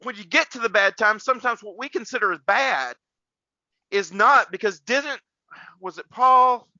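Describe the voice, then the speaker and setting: A man's voice: extremely high pitch, lively intonation, moderate pace, bright, forceful tone, no echo, lo-fi sound. A man hosting a casual faith podcast like a preacher, rambling and repeating words for emphasis.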